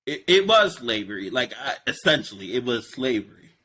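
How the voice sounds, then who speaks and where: A man hosts a casual political talk, his voice bright and forceful. He rambles and stacks up fillers, but he talks with animated conviction. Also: medium pitch, normal speed, a little hiss or hum. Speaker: podcast host.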